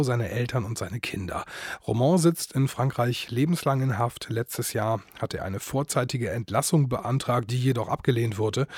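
Husky male radio presenter, dry close sound. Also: mid-range voice, a little mellow, dry recording. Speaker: radio presenter recapping the drama. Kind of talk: scripted radio introduction.

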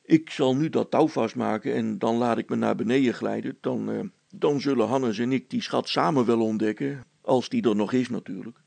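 A man voicing a practical, unruffled handyman who coaxes and gently teases in a children's story. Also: medium pitch, moderate pace, creaky, no room sound, pitched below the performer's own voice. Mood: confident, coaxing. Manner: matter-of-fact, gently teasing, encouraging.

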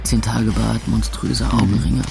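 Young male narrator, sardonic, world-weary, deadpan, first-person audio drama, clear music underneath. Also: fairly low pitch, unhurried.

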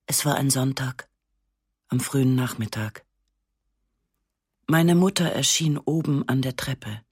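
A woman reading a literary first-person story in a sober, measured, matter-of-fact way. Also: rumbling low, slightly rough, no room sound, studio quality. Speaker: narrator.

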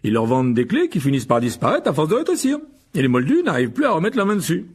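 A sheepish middle-aged wizard father, acted out by a male reader for a storybook. He is excitable and easily flustered, stumbling and backtracking as he goes. His voice is husky and swings widely in pitch. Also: quick pace, heavily acted. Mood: amused, animated. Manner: stammering, hesitant, backtracking.

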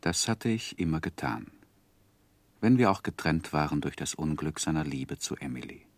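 Tiefer, wehmütiger Erzähler seines Lebens. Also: male voice, a bass voice, expressive, no room sound, full character voice. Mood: solemn grief. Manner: measured, solemn, sorrowful. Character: grieving, reflective gentleman narrating his life story. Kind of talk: literary radio play narration.

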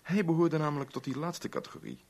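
An actor playing a sly, urbane chief inspector in a radio play, breezy and teasing in manner. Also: male voice, fairly high pitch, animated, fast talker, darkish tone. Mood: confiding, measured. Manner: breezy, teasing, evasive old-boy chattiness. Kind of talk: Dutch radio play acting.

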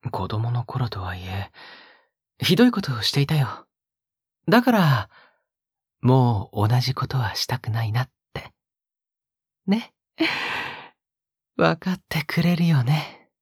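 A male actor playing a possessive young captor in an intimate audio drama, coaxing softly and often slipping into a whisper. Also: medium pitch, very animated, takes it slowly, long pauses, no echo, studio quality, full character voice. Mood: pleading, remorseful. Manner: soft, coaxing, often dropping to a breathy whisper. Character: possessive, sickly-sweet young male captor doting on a pet-like girl. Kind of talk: intimate audio drama acting, spoken to the listener.

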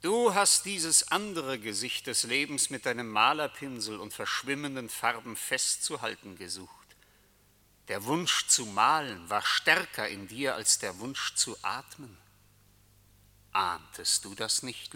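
An actor playing Death as a solemn, majestic coachman, declaiming deliberately in a radio play. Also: mid-range voice, animated, sharp tone, heavily acted. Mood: knowing, taunting. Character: Death personified, solemn, majestic male coachman come to collect souls. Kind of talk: German radio play acting. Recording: long reverberant hall echo.

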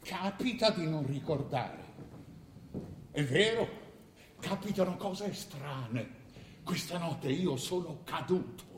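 Forgetful old father and ruler, high-pitched, rambling, insistent, repetitive, theatrical radio drama, reverberant hall. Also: expressive, slow pace, pauses often, a little hiss or hum. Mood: bewildered. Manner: rambling, insistent, repeats himself, chuckling. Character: forgetful ailing old father, a ruler lecturing his son. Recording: echoey hall, long reverb.

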